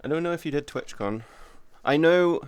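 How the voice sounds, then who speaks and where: A man's voice: on the high side, moderate pace, clear, resonant tone, no room sound. A man in his thirties streaming, rambling in casual chat with his viewers, swearing freely and greeting chatters by name. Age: 30s.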